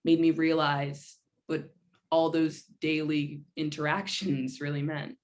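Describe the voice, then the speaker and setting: A woman's voice: sits low, steady tone, slow. A woman playing a sober, concerned advocate in a conversational documentary theater monologue.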